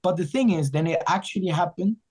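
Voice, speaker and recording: A man's voice: sits high, fairly quick, a little mellow, husky. A man from Ecuador, a guest talking earnestly and in loose rambling sentences in a casual interview. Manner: earnest, rambling, with frequent restarts. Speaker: guest musician.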